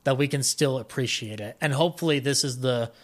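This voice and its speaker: A man's voice: mid-range voice, brisk. A man chatting casually as a podcast guest, rambling enthusiastically and voicing his own thoughts aloud. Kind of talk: casual chat.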